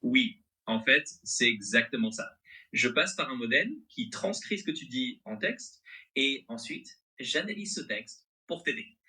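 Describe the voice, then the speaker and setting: A man's voice: mid-range voice, big pitch swings, measured pace, sharp tone, rough recording. A male AI assistant voice with a mixed French accent and American-sounding intonation, replying in an agreeable, accommodating, conversational way.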